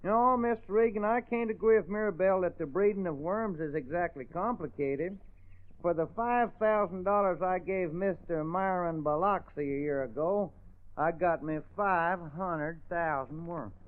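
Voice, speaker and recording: Guy acting as gullible southern farm husband, high earnest drawl, tinny old radio sound. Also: natural voice. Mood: earnestly explaining. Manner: earnest, slow-witted, polite, folksy. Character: gullible, apologetic rural Arkansas worm farmer, a husband. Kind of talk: 1950s radio drama acting.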